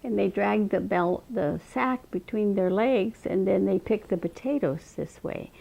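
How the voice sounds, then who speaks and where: A woman's voice: medium pitch, creaky, dark tone. An older woman, a state representative, unhurriedly recalling her childhood in an oral history interview. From Montana, raised in Eastern Washington. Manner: reflective, unhurried storytelling with frequent restarts.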